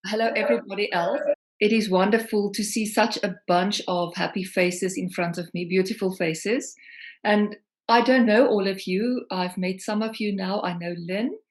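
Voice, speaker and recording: A woman's voice: medium pitch, normal speed. A middle-aged South African woman, a singer-songwriter, talking reflectively over a video call with many ums. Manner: warm, reflective, frequent ums.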